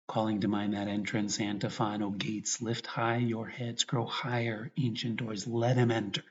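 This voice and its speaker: A man's voice: fairly low pitch, level tone. Dull-sounding recording of a man guiding prayer. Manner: unhurried, reflective, pausing mid-thought. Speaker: priest guiding meditation. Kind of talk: guided meditation and prayer.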